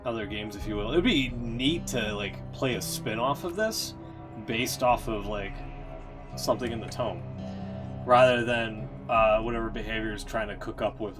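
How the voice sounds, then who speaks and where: A man doing casual, halting, wry live stream commentary as a game streamer. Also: fairly low pitch. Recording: music playing behind the voice.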